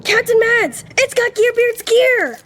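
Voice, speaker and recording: An eager young pirate boy in a cartoon adventure. The voice is very high and leaps about, excitable and a little put-upon, in an echoey space. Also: a little slow, a little hiss or hum, full character voice. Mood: panicked, excited.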